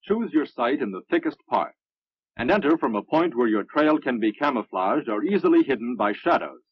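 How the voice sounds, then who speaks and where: An American man reading scripted narration for a military instructional film in a measured, instructive manner. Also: medium pitch, expressive, slightly rough, no echo. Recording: muffled, dry vintage film soundtrack.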